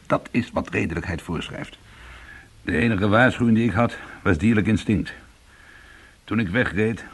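An actor playing a weary, defensive but soothing ex-convict in a radio drama, with a husky voice. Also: male voice, lowish voice. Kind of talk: radio drama acting and first-person narration.

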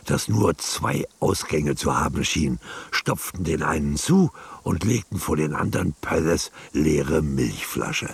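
A man's voice: extremely low pitch, expressive, unhurried, slightly rough, no echo. A man narrating a scripted radio drama in a storytelling manner.

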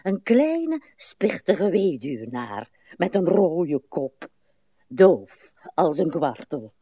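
An actress playing a weary, morbid, rambling old woman in a radio play, with slow, plaintive delivery. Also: fairly low pitch, big pitch swings, mellow, slightly rough, no room sound, clean studio sound, exaggerated voice. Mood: reminiscing, rambling. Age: older.

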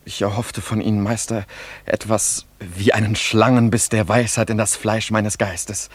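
An actor playing a pompous, earnest and easily affronted young admirer in a theatrical, florid German radio drama style. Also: male voice, fairly low pitch, flat delivery, moderate pace, breathy edge, dry recording. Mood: reverent, pleading. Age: young adult.

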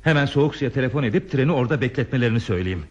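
An actor playing an earnest, anxious railway stationman in a radio play, with a husky voice. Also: mid-range voice, lively intonation, rapid-fire, a little mellow, a little hiss or hum, close to an everyday voice. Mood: flustered, alarmed. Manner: earnest, repeats words when flustered. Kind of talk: Turkish radio theatre acting. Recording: muffled old radio drama recording.

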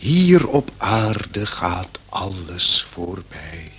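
An actor in an old radio play playing a calm, pious pastor who soothes in a solemn, paternal manner. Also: male voice, sits low, extremely slow, soft background music, heavily acted. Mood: consoling, solemn.

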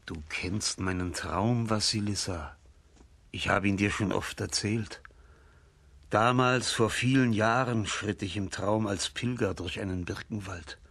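Old blind tsar, radio play, slow, solemn, raspy and frail, very dry close sound. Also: male voice, fairly low pitch, fairly even intonation, fairly bright tone, no echo, heavily acted. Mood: solemn, yearning. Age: older. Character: old, blind, sick, wise tsar and father. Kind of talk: radio play acting for children.

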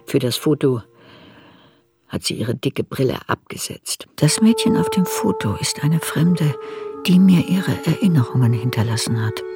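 An actor narrating a literary radio drama as a reflective narrator looking back on youth. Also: male voice, medium pitch, expressive, normal speed, creaky. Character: narrator recalling a girl's youth in reflective memoir. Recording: studio radio drama with music.

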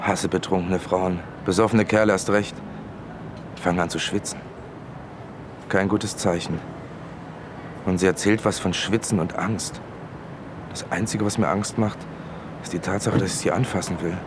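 An actor in a radio drama playing a brooding, resentful loner in clipped inner monologue. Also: male voice, low-pitched, steady tone, normal speed, pauses often, noisy background, natural voice. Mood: resentful, tense. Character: Torge, brooding, resentful, menacing loner ex-marksman.

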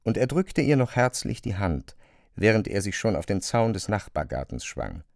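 A man narrating a literary radio play in a measured, articulate way. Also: lowish voice, sing-song, a little mellow, no room sound, clean studio sound.

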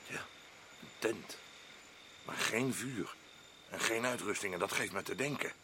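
A man's voice: lowish voice, measured pace, sharp tone, slight background noise. An actor playing a wry, doubtful male sidekick on an expedition in a radio play.

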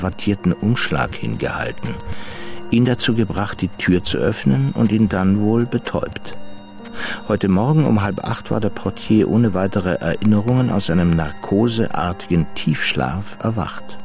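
A man's voice: lowish voice, lively intonation, measured pace, a little mellow, soft background music. A man reading scripted narration in a German radio crime drama.

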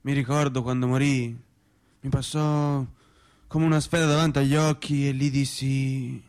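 Actor as a deadpan, monotone widower. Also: male voice, mid-range voice, normal speed, clean tone, lightly acted. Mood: doleful, resigned. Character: resigned, doleful provincial widower. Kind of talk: naturalistic stage dialogue.

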